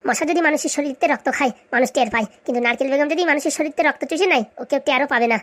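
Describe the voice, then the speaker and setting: High, rapid-fire cheeky villager, acted by a woman. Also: fairly bright tone. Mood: defiant. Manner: argumentative, sarcastic, long-winded. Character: cheeky, indignant villager accused of theft, talking back. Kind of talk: comic cartoon dialogue.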